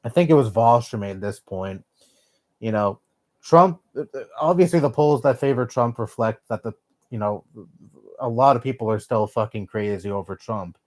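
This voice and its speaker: A man's voice: mid-range voice, moderate pace, a little mellow, no room sound, clean studio sound. A young man streaming live, answering chat in a blunt, rambling way and swearing often.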